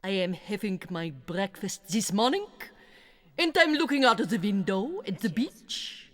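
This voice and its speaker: A woman's voice: mid-range voice, very animated. An actress playing a tyrannical, child-hating witch leader in an audiobook drama, imperious and theatrical. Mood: furious, disgusted. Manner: imperious, theatrical, drawn-out menacing delivery. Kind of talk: full-cast audiobook drama. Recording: music and crowd behind voice.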